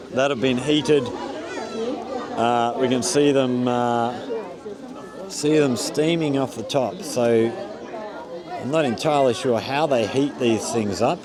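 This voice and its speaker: An Australian man casually narrating a walk-around vlog at an outdoor festival, with easygoing tour-guide commentary. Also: mid-range voice. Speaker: vlogger. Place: outdoors at a busy public festival. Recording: loud crowd noise outdoors. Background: crowd chatter.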